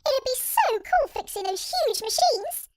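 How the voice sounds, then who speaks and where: A woman voicing an eager little female piglet in a children's story, with a squeaky, squealing voice. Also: very animated, measured pace, dry recording, clean studio sound, heavily acted. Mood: thrilled.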